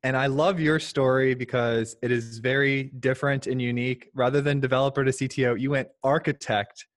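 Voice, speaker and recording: A man of average pitch hosting a podcast. He asks his guest questions in a relaxed, conversational tone with clear enthusiasm. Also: fairly quick. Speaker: podcast host. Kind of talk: casual interview talk.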